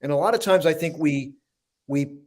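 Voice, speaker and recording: A man co-hosting an inspirational talk show, speaking at an ordinary mid pitch. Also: moderate pace, a little mellow.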